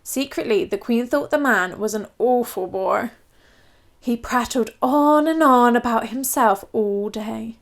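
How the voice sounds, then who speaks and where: Female narrator for children's read-aloud, higher-pitched, expressive storybook delivery. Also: a little slow.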